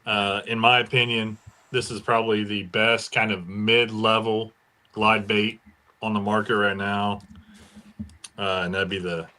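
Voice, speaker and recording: A man's voice: fairly low pitch, level tone, measured pace, bright, forceful tone. An American man chatting casually and enthusiastically about fishing gear as a podcast panelist.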